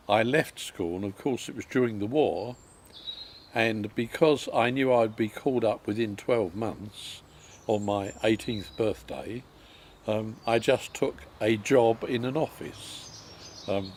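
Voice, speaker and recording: A man, recalling his life story in a halting way with many pauses and ums. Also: mid-range voice, a little hiss or hum. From England. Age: 90s. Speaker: interviewee.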